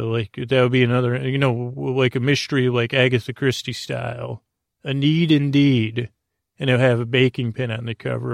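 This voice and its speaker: Creaky-voiced man rambling soothingly. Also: mid-range voice, no room sound, studio quality. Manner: meandering, hesitant, soothing, absent-minded tangents. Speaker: podcast host. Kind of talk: rambling bedtime sleep podcast monologue.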